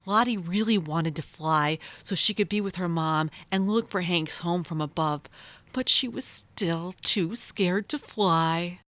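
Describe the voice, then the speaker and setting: A woman's voice: on the low side, unhurried, fairly bright tone, no room sound. A woman narrating a storybook for young children as a storyteller.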